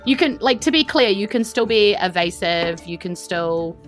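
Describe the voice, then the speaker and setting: A woman's voice: mid-range voice, expressive, normal speed, soft background music. A woman with a New Zealand accent, running a casual tabletop roleplaying game as game master.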